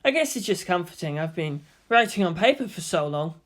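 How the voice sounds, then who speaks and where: A woman voicing a serious, reserved and quietly calculating teenage boy in a fan fiction reading. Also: lowish voice, sing-song, brisk. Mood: polite, reserved.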